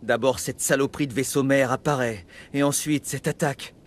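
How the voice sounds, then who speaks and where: An actor playing a frightened, cowardly human survivor in a video game, nervous and rambling. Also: male voice, medium pitch, fairly even intonation, a little fast, a little hiss or hum, natural voice. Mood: agitated, rattled. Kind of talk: dubbed video game cutscene acting.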